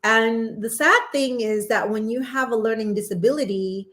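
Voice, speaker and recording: A woman in her forties from Indonesia, a podcast guest chatting casually and laughing often. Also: a little high. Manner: animated, laughs often, quick asides. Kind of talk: casual interview chat.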